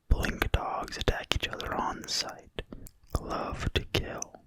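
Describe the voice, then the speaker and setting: A person reading in a quiet, breathy whisper, soft and deliberate, as in an ASMR reading of a fantasy book. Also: dry recording, rough recording. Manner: slow, soft, unhurried, deliberate. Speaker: ASMR video creator and reader.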